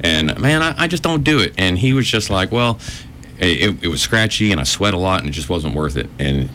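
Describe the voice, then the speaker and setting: A man who works as a mechanic, talking loudly and emphatically in a casual chat, his voice jumping up for emphasis. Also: expressive, a little fast, slight background noise. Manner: loud, emphatic, pitch jumps up.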